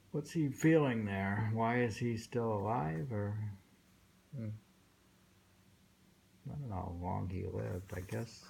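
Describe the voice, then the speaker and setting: A man reading poetry aloud informally and commenting on it in a halting, musing way, pausing often. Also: fairly low pitch, creaky, mellow, rough recording. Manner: halting, musing, with frequent pauses. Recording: faint background noise, home recording.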